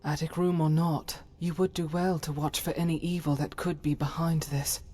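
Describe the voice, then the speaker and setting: A woman's voice: deep voice, fairly even intonation, moderate pace, warm tone, slightly rough. A woman voicing a solemn, devout adventurer companion in a fantasy game, speaking earnestly in formal, archaic phrasing. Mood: wary. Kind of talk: scripted fantasy game dialogue.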